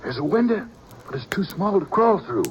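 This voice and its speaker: A practical frontier lawman speaks in a plain, laconic way. His voice is raised in pitch and a little distant from the mic, with the dull sound of an old radio broadcast. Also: big pitch swings, moderate pace, background noise. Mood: steady. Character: steady male lawman partner, practical. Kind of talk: 1950s radio acting.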